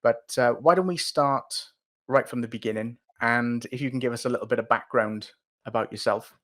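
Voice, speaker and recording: A man's voice: medium pitch, moderate pace, fairly bright tone. A man hosting a podcast interview, talking in a friendly, loose way with small restarts. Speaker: podcast host.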